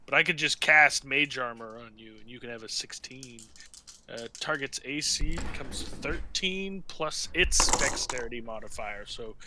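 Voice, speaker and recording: A man's voice: mid-range voice, moderate pace, noisy background. A man chatting casually as a player in a tabletop role-playing game, with crude, sweary joking. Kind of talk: casual chat among friends, playing in character and explaining rules.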